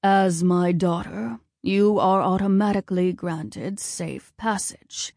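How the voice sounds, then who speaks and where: A woman voicing a commanding, cold male war god and father, speaking in a formal, measured way. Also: fairly low pitch, creaky, a little mellow, dry recording, clean studio sound, exaggerated voice. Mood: solemn, commanding.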